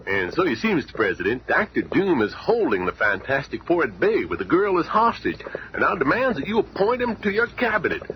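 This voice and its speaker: An actor playing an anxious, deferential government aide in a 1970s radio drama, urgent and formal. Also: male voice, fairly high pitch, wildly swooping pitch, a little fast, fairly bright tone. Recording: faintly noisy old radio show recording.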